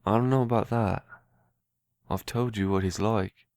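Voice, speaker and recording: A man playing a simple-minded, earnest young man in an amateur audio drama, eager and anxious, with halting, childlike phrasing. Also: lowish voice, steady tone, a little mellow, no room sound, natural voice. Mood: hesitant, earnest.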